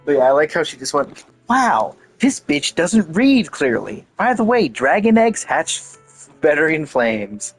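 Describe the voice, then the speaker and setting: A man's voice: a little high, big pitch swings, measured pace. A man giving casual, rambling gameplay commentary in an offhand way. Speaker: gamer, streamer. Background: game music.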